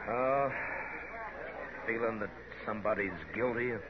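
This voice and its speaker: A man's voice: lowish voice, fairly even intonation, unhurried, close to an everyday voice. A man with an American accent playing a weary, brooding frontier marshal in a 1950s radio western. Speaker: lead actor in a western radio serial. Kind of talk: 1950s radio drama acting. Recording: muffled old 1950s radio, crowd behind.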